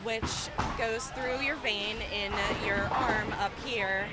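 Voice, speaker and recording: A woman's voice: on the high side, steady tone, slow, loud music behind the voice. A woman giving unscripted interview answers in everyday language. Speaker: interviewee, mother of a patient.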